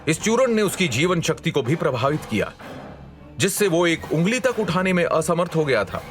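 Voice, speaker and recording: A man's voice: on the high side, very animated, moderate pace, soft background music. A man narrating a scripted dramatic story as a storyteller, in a suspenseful manner.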